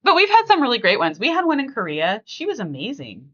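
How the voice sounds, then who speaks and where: A woman hosting a casual family podcast, chatting conversationally and thinking out loud as she talks. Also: on the high side, huge pitch swings, fast, lo-fi sound. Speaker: podcast host.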